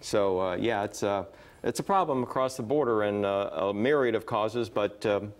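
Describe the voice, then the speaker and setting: A man's voice: lowish voice, moderate pace. An American man delivering a local television news report as a reporter.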